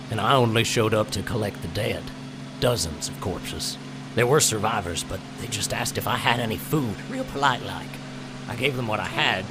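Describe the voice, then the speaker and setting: A man playing a folksy, kindly working-class truck driver in an audio drama, with a gravelly voice and drawling slang. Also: fairly low pitch, lightly acted.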